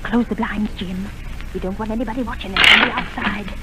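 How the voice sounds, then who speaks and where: A woman's voice: mid-range voice, fairly even intonation, fairly quick, lightly acted. An actress playing a stubborn, fretful widowed innkeeper mother in a 1930s radio drama. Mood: grumbling. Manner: grumbling, insistent. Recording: noisy old radio broadcast.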